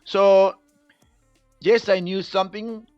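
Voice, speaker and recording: A man's voice: sits high, steady tone, slow pace, no room sound, highs cut off, soft background music. A middle-aged man from Costa Rica, a native Spanish speaker with a Costa Rican accent, telling his own story casually as an interview guest. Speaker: podcast guest, Spanish teacher.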